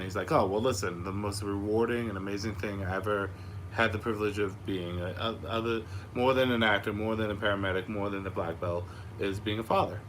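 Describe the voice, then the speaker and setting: Slightly raspy, low-pitched young man chatting casually. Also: a bit bright, rough recording. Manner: frank, sweary, rambling storytelling. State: losing his voice after being sick. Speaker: host of a live Q&A stream. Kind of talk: casual chat to online viewers. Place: a room upstairs at home. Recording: clearly audible background noise.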